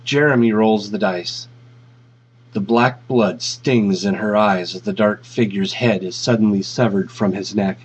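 A man's voice: lowish voice, a little slow, husky. A man reading a piece of fiction aloud as scripted narration. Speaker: fan fiction reader. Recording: dull, lo-fi audio.

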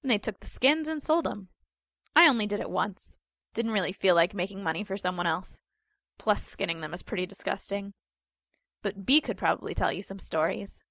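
A woman's voice: mid-range voice, moderate pace, gravelly, fairly bright tone, dry recording, clean studio sound. A woman voicing a cocky young female monster fighter in a story reading, breezy and casually teasing. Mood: amused.

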